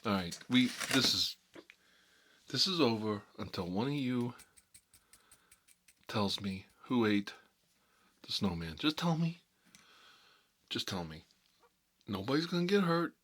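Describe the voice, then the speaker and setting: A man playing a gruff, sardonic mob boss who rambles and repeats himself through mock threats, in an ASMR-style comic monologue with a husky voice. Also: medium pitch, lively intonation, a little slow, pauses often, a bit bright. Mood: accusing, threatening.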